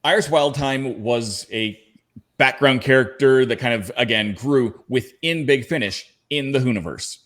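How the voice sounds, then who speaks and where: A man's voice: mid-range voice, unhurried, fairly bright tone. Man explaining in casual fan chat. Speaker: podcast panelist.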